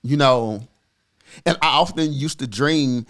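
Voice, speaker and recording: Forties guy on a podcast, slow thoughtful storytelling, lots of ums and pauses. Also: mid-range voice, fairly bright tone. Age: 40s. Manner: reflective and earnest, with many pauses and fillers. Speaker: podcast co-host.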